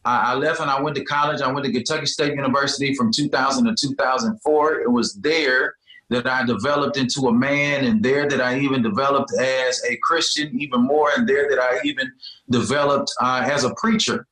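A man in his forties speaks with an earnest pastor's cadence, circling back over his own phrases. His voice is forceful and bright, and it rings in an echoey room. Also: mid-range voice, fairly even intonation, fairly quick. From Birmingham, Alabama. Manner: earnest, repetitive preacher's cadence. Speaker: pastor, guest. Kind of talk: storytelling interview answers.

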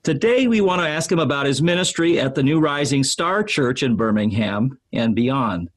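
Husky-voiced man hosting a podcast. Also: medium pitch, lively intonation. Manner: measured, formal reading. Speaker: podcast host. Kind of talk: scripted show introduction.

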